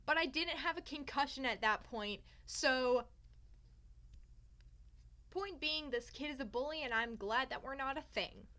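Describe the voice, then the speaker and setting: Bright, high-pitched young woman telling a story. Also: unhurried, pauses often. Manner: theatrical, sarcastic, self-mocking. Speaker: vlogger telling a personal story. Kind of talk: casual storytime chat to camera.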